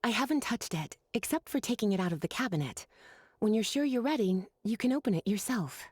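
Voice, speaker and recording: A woman voicing a poised, affectionate, teasing teacher in a video game, with a breathy voice. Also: on the high side, expressive, a little fast, a little mellow, dry recording, studio quality. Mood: teasing, gentle.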